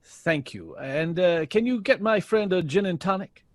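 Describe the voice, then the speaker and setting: A man playing a genial, easily excited jazz bandleader in an audio drama, courteous and a little hesitant. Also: high-pitched, unhurried, no echo, studio quality.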